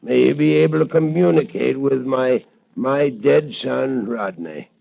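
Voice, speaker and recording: Elderly banker father, very gravelly, solemn and trusting, forties radio serial, narrow muffled audio. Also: medium pitch, measured pace. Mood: solemn, wistful. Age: older. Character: grieving, credulous aging banker father, solemn. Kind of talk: 1940s radio adventure acting. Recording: muffled, band-limited vintage radio recording.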